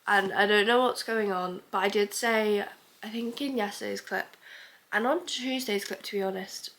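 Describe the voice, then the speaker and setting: A woman's voice: medium pitch, moderate pace, a bit bright. A young woman chatting casually in a reading vlog, stuffy with a cold and rambling as she thinks aloud. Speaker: book vlogger.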